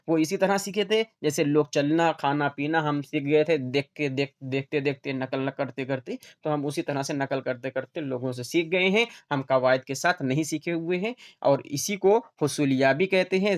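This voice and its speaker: A man's voice: fairly high pitch, a little mellow. A man teaching an online class in an informal lecture style, restating each point for his students.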